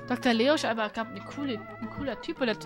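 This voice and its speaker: A woman's voice: on the high side, fast talker. A woman streamer chatting casually while she plays a video game. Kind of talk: casual gameplay chat. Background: game music.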